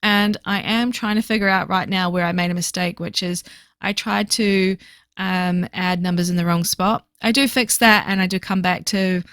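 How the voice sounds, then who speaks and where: A woman recording a casual voiceover for her reading journal video, chatty and fast-talking with lots of fillers, her voice slightly hoarse. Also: fairly low pitch, steady tone, a bit bright, no echo. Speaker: book vlogger.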